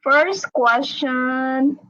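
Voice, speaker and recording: A woman chatting casually and excitedly as the host of a live online interview, over a video call. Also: sits high, drawn-out words, lo-fi sound. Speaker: host interviewing a guest on a livestream.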